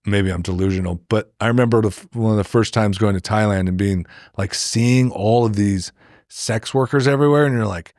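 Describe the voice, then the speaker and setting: Rambling American man with a lowish voice. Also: a little fast, a little mellow, no echo, clean studio sound. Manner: rambling, filler-heavy, acts out imagined remarks. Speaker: podcast co-host. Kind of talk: casual chat, opinions and anecdotes.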